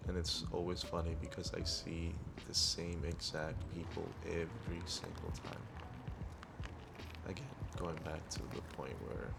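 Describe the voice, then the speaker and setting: A man with a deep voice narrating his own vlog in a laid-back, rambling voiceover. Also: completely flat delivery, measured pace, vocal fry, loud music behind the voice. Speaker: vlogger. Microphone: clip-on wireless mic.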